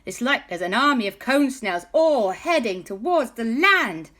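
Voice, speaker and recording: A woman voicing an excitable male cartoon pirate cat who talks in hearty pirate slang. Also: a little high, a little slow, pitched above the performer's own voice. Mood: panicked. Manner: hearty pirate talk, frantic.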